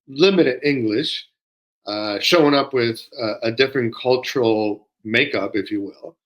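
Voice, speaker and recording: A man in his sixties who grew up in Peru, co-hosting a conversation and talking in a measured, reflective way with frequent pauses and fillers. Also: medium pitch, fairly bright tone, highs cut off. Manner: measured, pausing often with fillers. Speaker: podcast co-host.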